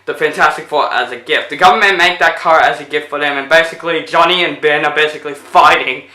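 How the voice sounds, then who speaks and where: A man's voice: on the high side, quick pace, sharp tone, reverberant. A young man recording a casual movie review video, rambling through a retelling with lots of fillers, his voice getting tired. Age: young adult. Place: indoors in front of a plain blue backdrop.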